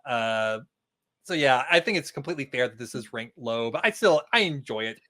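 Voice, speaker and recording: A man's voice: mid-range voice, expressive, normal speed, sharp tone. A man chatting casually as a podcast guest, explaining things in a rambling, stop-start way. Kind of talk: casual chat about a TV episode.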